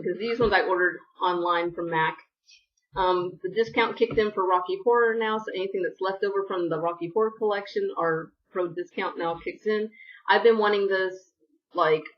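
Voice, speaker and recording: A woman's voice: mid-range voice, distant mic, dull audio. Chatty, sniffly woman vlogger. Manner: chatty, rambling, apologetic asides. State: sniffly from allergies. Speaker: beauty vlogger. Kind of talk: casual haul vlog.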